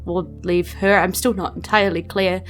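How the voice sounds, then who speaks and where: A New Zealand woman voicing an anxious, trapped farmer in improvised tabletop role-play, halting and stammering as she explains. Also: on the low side, flat delivery, lightly acted. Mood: troubled, hesitant. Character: anxious, troubled farmer trapped by a secret agreement. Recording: music bed under the voice.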